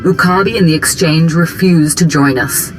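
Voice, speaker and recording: A woman playing a smooth, ambitious imperial diplomatic operative in a video game, speaking in formal, polished, deferential phrases. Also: low-pitched, normal speed, faint music behind, close to an everyday voice, low-quality recording. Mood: formal, persuasive. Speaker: imperial special operations chief. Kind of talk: dramatic video game dialogue.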